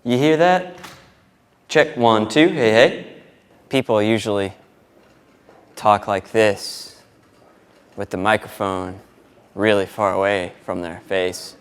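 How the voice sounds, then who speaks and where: Laid-back man with a lowish, unhurried voice. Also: clean tone. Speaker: sound engineer vlogging his gig setup. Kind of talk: casual vlog chat with mic checks.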